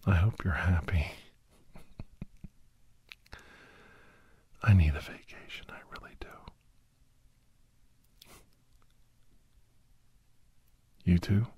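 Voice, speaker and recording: A man playing a weary, wry doctor telling his adventures in a hushed, deadpan ASMR style with a husky, breathy half-whisper. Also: low-pitched, slow, highs cut off. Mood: tired, playful. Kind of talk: ASMR scripted storytelling. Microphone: close, dry microphone.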